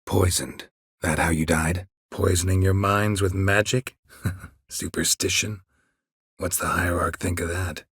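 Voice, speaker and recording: Game role: stoic male monster hunter, deep and very gravelly, terse, deadpan, world-weary. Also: measured pace, dry recording, full character voice. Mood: deadpan, dry. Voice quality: gravelly, husky rasp. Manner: terse, deadpan, dry understatement. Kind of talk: video game character dialogue.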